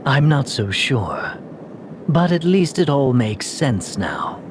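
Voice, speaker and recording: A man playing a troubled, gallant young knight in a video game, earnest and formal, with a husky, breathy, halting delivery. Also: medium pitch, big pitch swings, noisy background. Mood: grave, confessional.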